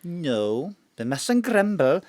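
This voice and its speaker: A man's voice: a little high, very animated, takes it slowly, darkish tone, dry recording, clean studio sound. A man voicing a chatty, doting older mother who rambles in a sing-song way, in a radio play. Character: chatty older Welsh mam, fond and gossipy. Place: home studio.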